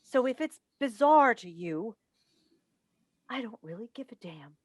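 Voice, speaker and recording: A woman's voice: a little high, lively intonation, a little slow, no echo, studio quality. A woman playing a proud, defensive wife in a staged play reading, earnest in manner, recorded over a video call. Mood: angry, defiant. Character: defensive, proud wife who hosts a talk show.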